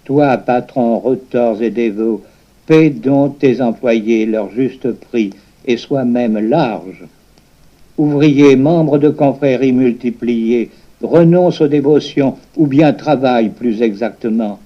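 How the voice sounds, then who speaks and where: A man reciting a religious poem in speech, solemn and exhorting like a preacher, with deliberate, declamatory phrasing. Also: mid-range voice, muffled recording. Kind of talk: solemn poetry recitation in the manner of a sermon.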